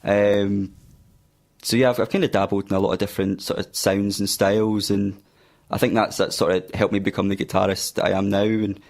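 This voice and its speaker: A man's voice: on the low side, brisk. A man from Fife with a Scottish accent, a guitarist guest talking casually about his band in a radio studio. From Fife, Scotland. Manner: relaxed, says aye, wee.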